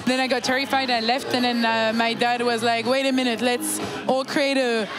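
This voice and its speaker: French lady, pretty monotone, lots of uh and like, dj music going behind her. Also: on the high side, normal speed. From France. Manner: hesitant, many fillers and restarts. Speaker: guest, music supervisor. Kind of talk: casual interview chat. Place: bar with live DJ in the background. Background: music from DJs.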